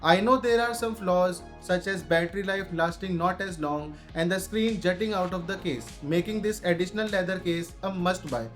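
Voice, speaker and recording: A man's voice: high-pitched, moderate pace, hardly any pauses, faint music behind. A man with an Indian accent narrating a scripted audio gear review.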